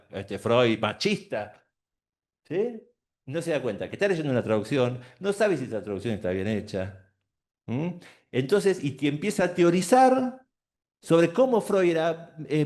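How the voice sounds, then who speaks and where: Creaky-voiced man lecturing. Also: high voice, darkish tone. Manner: emphatic and explanatory, rising into indignant bursts. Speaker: panel speaker. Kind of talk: lecture talk on a panel.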